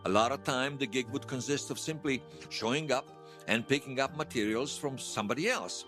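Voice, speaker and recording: A man's voice: mid-range voice, a little fast, over music, close to an everyday voice. An actor playing a wry, folksy Bosnian man who tells his past in an audio drama interview. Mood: wry, explanatory. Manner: wry storytelling with colourful folk sayings. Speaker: storyteller being interviewed.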